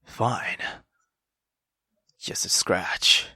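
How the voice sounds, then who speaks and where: A man voicing a cold, guarded young nobleman from a visual novel, in a breathy, halting, grave murmur. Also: bright, forceful tone, dry recording, studio quality, exaggerated voice. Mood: weary worry.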